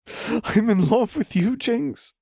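An earnest young soldier woman, voiced by a male audiobook reader. The voice is soft and husky, full of tender devotion. It sits close and very dry. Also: extremely high pitch, lively intonation, takes it slowly, dry recording, voice pushed up, full character voice. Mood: tearful, adoring. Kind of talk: audiobook character dialogue.

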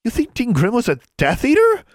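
A man voicing a stubborn, excitable schoolgirl with an insistent, dramatic way of talking, in an audiobook. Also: medium pitch, fast talker, husky, dry recording, studio quality, voice pushed up. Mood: conspiratorial.

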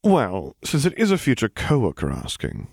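A man voicing a prim, unflappable naval captain with sly wit in an audiobook, measured and understated. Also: medium pitch, huge pitch swings, vocal fry, a little mellow, husky, no room sound, clean studio sound, voice pushed up, heavily acted.

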